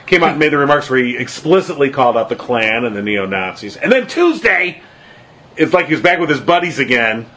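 A man's voice: medium pitch, very animated, fairly quick, fairly bright tone, slight background noise. A man co-hosting a casual political podcast, talking with many fillers and hesitations. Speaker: podcast co-host.

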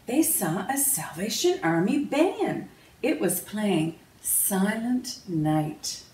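A woman reading a children's picture book aloud in a measured, expressive storytelling manner. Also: medium pitch. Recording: roomy, distant mic, faint noise.

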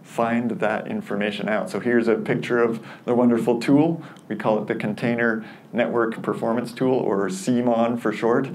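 A man's voice: mid-range voice, steady tone, moderate pace, darkish tone, a little hiss or hum. A man in his thirties giving a friendly, upbeat lightning talk at a conference, demoing a free tool with some self-deprecating humor, on a headset mic in a room with an audience. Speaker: conference speaker. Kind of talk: lightning talk product demo.

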